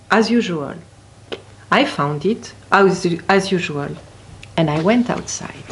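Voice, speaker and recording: A woman reading a children's story aloud expressively, with deliberate, dramatic emphasis on key words. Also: fairly low pitch, a little hiss or hum. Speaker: picture book reader at a library.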